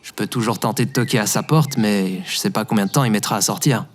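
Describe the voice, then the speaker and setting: A man's voice: lowish voice, fast talker, natural voice. A man playing a wary, hesitant colonist in an audio drama, talking in a casual, reluctant manner. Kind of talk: scripted audio drama acting. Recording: clear background noise.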